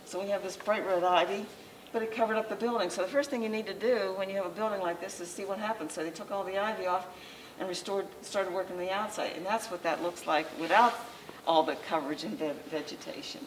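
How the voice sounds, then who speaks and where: A woman's voice: mid-range voice, fairly even intonation. Older woman lecturing from a distant mic. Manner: conversational, halting with frequent restarts and fillers. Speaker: local historical society president giving a lecture. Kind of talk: informal slideshow lecture. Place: library meeting room, indoors, small audience. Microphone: far camera, masked speaker. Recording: distant camera mic with faint room noise.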